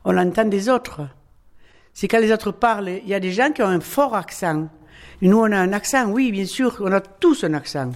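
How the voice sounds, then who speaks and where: A woman's voice: mid-range voice, normal speed, darkish tone. Chatty woman telling family stories. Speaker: interviewee. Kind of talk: casual storytelling.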